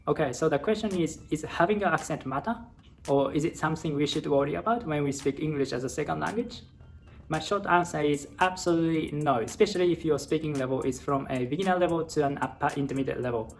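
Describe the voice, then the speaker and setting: A young man from Japan speaking English with a Japanese accent, giving earnest, encouraging advice to English learners as a channel host. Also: fairly high pitch. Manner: earnest, encouraging, steady explanatory delivery. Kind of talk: casual advice talk to camera.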